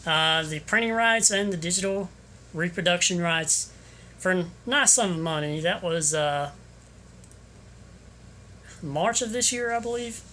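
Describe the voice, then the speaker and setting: Bright-voiced rambling man. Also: high voice, unhurried, pauses often, slight background noise. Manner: rambling, hesitant, full of ums and restarts. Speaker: local photographer selling prints. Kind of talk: casual sales pitch to camera. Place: indoor room with an overhead fan. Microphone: phone camera.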